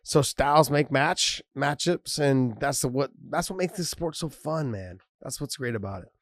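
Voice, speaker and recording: A man's voice: medium pitch, moderate pace, dry recording. Laid-back man with a dry studio sound. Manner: laid-back, laughs easily, drops "man" often. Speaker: podcast co-host, former fighter. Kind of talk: casual sports podcast chat.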